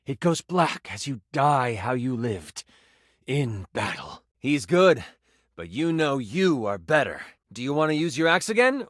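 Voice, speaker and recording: A man's voice: fairly high pitch, a bit bright, dry recording, clean studio sound. A man playing a playful, dramatic game master who narrates a tabletop fantasy adventure in a video game. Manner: theatrical second-person storytelling with casual asides.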